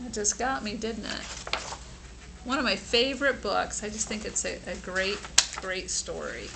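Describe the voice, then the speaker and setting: A woman reading a picture book aloud to children in an expressive, sympathetic way, with informal asides. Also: medium pitch, a little slow, vocal fry, heavy background noise. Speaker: storybook reader.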